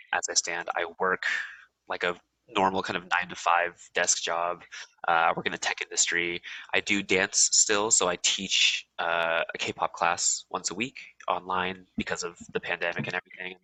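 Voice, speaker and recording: Casting: young Californian man, deep but bright tone, rambling guest talk, dry close sound. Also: dry recording, poor audio. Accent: Californian American. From USA. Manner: relaxed, rambling, many ums and restarts. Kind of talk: casual interview chat.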